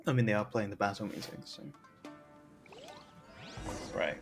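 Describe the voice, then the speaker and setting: A man's voice: fairly low pitch, normal speed, creaky, faint music behind. A man giving casual live gameplay commentary as a streamer, rambling in a jokey, self-deprecating way. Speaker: game streamer.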